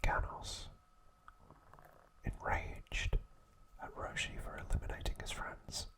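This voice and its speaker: A man narrating trivia as an ASMR host, hushed and deliberate, in short separated phrases.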